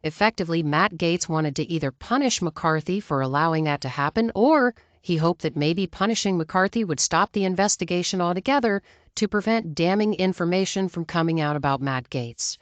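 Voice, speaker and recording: Lower-pitched woman narrating news, dry sound. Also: moderate pace, no room sound. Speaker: news commentator. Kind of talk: scripted political news narration.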